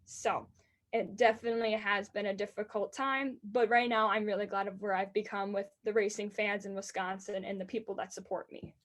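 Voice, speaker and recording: An eighteen-year-old woman with a high-pitched voice, earnestly answering interview questions about racing announcing. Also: level tone, fairly bright tone. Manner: earnest and enthusiastic, quick bursts.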